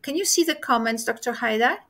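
A middle-aged woman hosting a discussion on a video call, speaking in a measured, reflective way. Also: a little high, expressive, fairly bright tone. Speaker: host.

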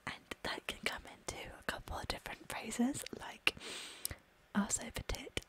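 A British woman whispering slowly for an ASMR video, softly repeating single words over and over. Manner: soft whisper, slow deliberate repetition of words. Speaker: ASMR creator.

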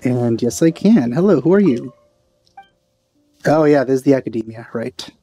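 A man's voice: mid-range voice, lively intonation, moderate pace, mellow, slightly rough. A man giving casual commentary as he plays a video game. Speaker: let's player. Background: game music.

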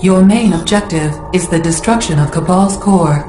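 A woman performs a female battlefield AI in a video game, measured and impersonal. Her voice is lowish and echoing, under loud music. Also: close to an everyday voice. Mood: calm, precise. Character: calm, precise female military computer AI. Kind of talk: formal status report in game cutscene.

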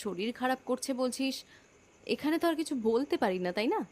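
A woman's voice: sits high, animated, a little fast, a little mellow. A woman playing a doting, firm housewife and sister-in-law in a cartoon story. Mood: affectionate. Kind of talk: cartoon moral-story dialogue.

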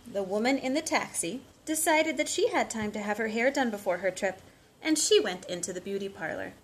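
A woman's voice: fairly high pitch, a little fast. Woman reading storytime, noisy recording. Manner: expressive, simple phrasing addressed to small children. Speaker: children's storytime reader and teacher. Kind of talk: storytime read-aloud and talk to young children. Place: living room at home, indoors. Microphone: phone at about arm's length. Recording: noisy homemade phone recording.

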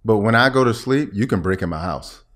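A man's voice: lowish voice, animated, fairly quick, a bit bright. A middle-aged American man speaking conversationally as a podcast guest, explaining his points and checking that the listener follows. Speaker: podcast guest, health expert. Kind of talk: conversational interview. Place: small indoor room at a table. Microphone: podcast microphone on boom arm.

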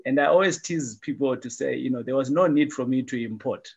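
A man's voice: mid-range voice, brisk, gravelly, husky, highs cut off. Upbeat middle-aged man hosting a video call. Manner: upbeat, repeats phrases like "awesome stuff". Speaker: host. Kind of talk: casual video-call interview.

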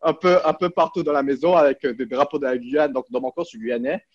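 A man's voice: mid-range voice, quick pace. Hesitant man on a dull video call. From French Guiana. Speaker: interview guest, entrepreneur. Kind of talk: casual interview talk. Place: small plain room indoors.

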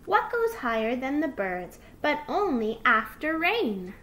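Woman as a gentle mother fox, slow and lilting. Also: sits high, sing-song, full character voice. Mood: patient, encouraging. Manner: soothing, teaching, encouraging. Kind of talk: children's storybook character voice.

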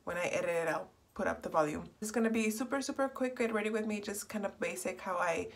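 A young woman, hoarse from a cold and slightly raspy, chatting in a rambling, self-correcting way while doing her makeup in a small bedroom. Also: mid-range voice, quick pace. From Texas. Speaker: beauty vlogger. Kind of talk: casual get-ready-with-me chat. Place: small bedroom in front of a door.

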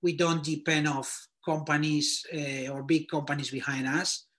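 A Spanish-accented man in his thirties talks casually over a video call, his voice sitting a little high for a man. He rambles loosely, leaning on fillers as he works out his thoughts. The sound is a laptop mic in a small room at home. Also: little pitch movement, normal speed, a bit bright. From Spain. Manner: loose, filler-heavy, thinking aloud. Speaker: guest portfolio reviewer. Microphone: laptop webcam video call.

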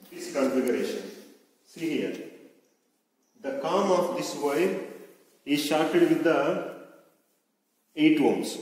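A young man gives a deliberate, repetitive instructional demonstration as a lab instructor, recorded at a distance in a very echoey hall. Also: on the high side, dark tone. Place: large indoor lab room with hard surfaces.

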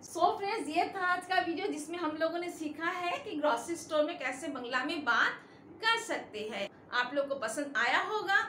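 A middle-aged woman teaching a language lesson at a whiteboard, slowly repeating each phrase for her learners. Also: very high-pitched, lively intonation, bright, forceful tone, roomy sound, a little hiss or hum. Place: indoor room in front of a whiteboard.

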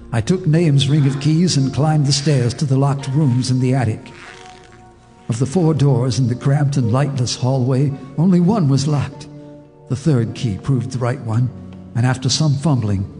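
An actor as a haunted neighbor recounting a horror, his voice mid-pitched and grave, the telling measured and deliberate. Faint music hums beneath him in the radio play. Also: warm tone, natural voice. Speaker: narrator. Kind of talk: radio drama narration.

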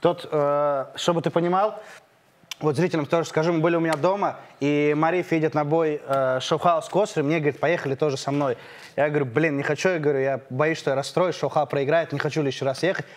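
A man's voice: a little high, steady tone, fast talker. A young man animatedly telling a story in a studio chat, quoting himself and others.

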